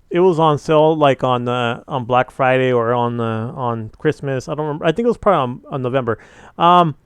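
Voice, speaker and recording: A man's voice: fairly high pitch, smooth, dry recording. A young man giving casual, opinionated commentary on gaming news, rambling with many fillers and restarts. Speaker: gaming channel host.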